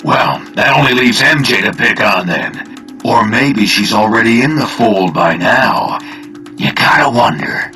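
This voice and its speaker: An actor playing a taunting, persuasive older spymaster who serves a hive mind in a video game cutscene. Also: male voice, on the low side, expressive, unhurried, pushed and bright. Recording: game music faintly behind.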